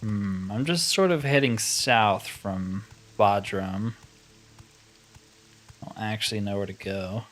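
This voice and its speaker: A man's voice: lowish voice, slow pace, fairly bright tone, faint music behind. A man giving casual gameplay commentary on a fantasy roleplay game, musing aloud in a wry, conversational way.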